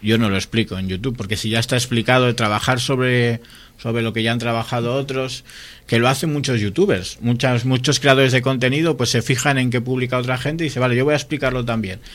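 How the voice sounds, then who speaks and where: A man with a Spanish accent from Spain, chatting casually and telling anecdotes in an animated way, often quoting people. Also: mid-range voice, a little fast. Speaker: guest, science video creator.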